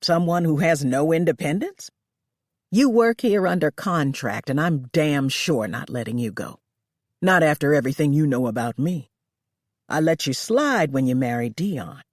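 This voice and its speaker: A woman reading aloud, voicing a cruel wealthy female employer who yells and screeches at her maid. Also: animated, normal speed, no echo, studio quality. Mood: cold. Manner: shrill, shouting.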